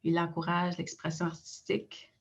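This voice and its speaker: A middle-aged Innu woman with a Quebec French accent, reading a prepared personal account in a measured way with frequent hesitations. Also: lowish voice, monotone, vocal fry, breathy edge, dull audio. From Innu community in Quebec. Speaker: director of an Innu cultural institute.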